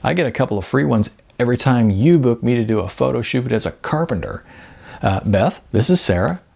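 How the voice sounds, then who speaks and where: A man's voice: mid-range voice, animated, fairly quick, creaky, a little mellow. A man voicing a contented, wistful, overweight male model in an audiobook, chatting casually. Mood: casual, mildly defensive.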